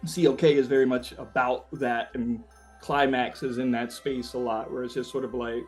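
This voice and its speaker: Man, medium pitch, casual interview talk, halting, reflective musician searching for words. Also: level tone, soft background music.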